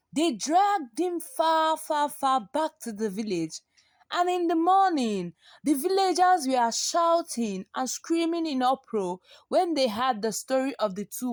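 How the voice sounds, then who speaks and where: A woman's voice: sits high, no echo. A woman telling a folktale dramatically and expressively, drawing out and repeating words for effect. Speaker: storyteller.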